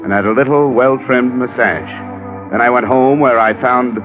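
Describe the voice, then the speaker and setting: A man's voice: mid-range voice, unhurried, lightly acted. A man playing a dry, wisecracking insurance investigator in a 1950s radio detective drama. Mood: dry narrating. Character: wry, unflappable hard-boiled insurance investigator. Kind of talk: 1950s radio detective acting and scripted narration. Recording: dull old radio recording, music behind.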